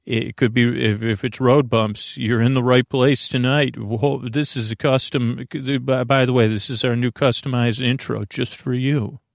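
A man with a creaky voice hosting a bedtime podcast, rambling in a lulling, halting way and often interrupting himself as he drifts into tangents. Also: medium pitch, steady tone, dry recording, studio quality. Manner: meandering, halting, digressive, self-interrupting; soothing, lulling. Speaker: podcast host.

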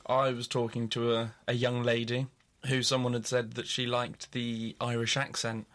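A man's voice: medium pitch, monotone, normal speed, a bit bright. Man telling jokey stories, casual chat. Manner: jokey storytelling. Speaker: podcast co-host.

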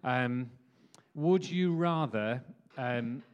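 Church meeting host, man, booming voice, lots of ums and laughs. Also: extremely slow, smooth, muffled recording. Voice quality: booming deep voice. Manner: hesitant, filler-heavy, laughs often. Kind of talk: casual conversational interview.

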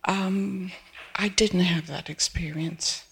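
An older woman in her seventies from Peru speaks with a gravelly, very raspy voice that holds a flat, even pitch. She talks hesitantly, with soft restarts, as an audience member at a live event. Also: slow. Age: 70s. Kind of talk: halting personal testimony.

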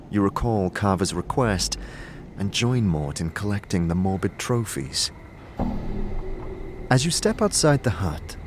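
A man's voice: on the low side, noisy background. A man giving dramatic scripted narration as the storyteller of a fantasy tale. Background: prominent music.

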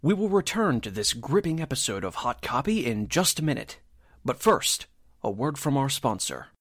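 A male announcer narrates in old-time radio drama style. His pitch is medium and the delivery is scripted and dramatic. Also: big pitch swings, normal speed, a bit bright.

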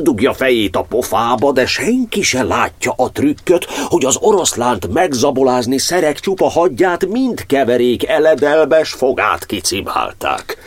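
A man's voice: fairly low pitch, breathy edge, dry recording, full character voice. A man playing an imprisoned aged cardinal, a proud and devout martyr, declaiming solemn verse in a radio monodrama with dramatic swings. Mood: bitter, scornful.

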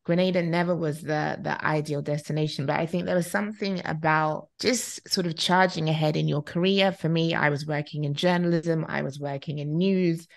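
Low-voiced English woman, reflective. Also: steady tone, moderate pace, creaky, few pauses. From England. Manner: thoughtful, rambling, with frequent restarts. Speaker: podcast guest. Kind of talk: casual conversational interview.

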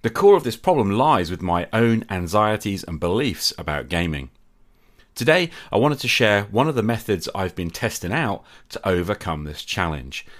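Middle-aged male podcast host, very low voice with a lively, rolling melody; candid and wryly self-mocking. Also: moderate pace. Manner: reflective and candid, with wry self-deprecating humour. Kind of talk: solo podcast monologue.

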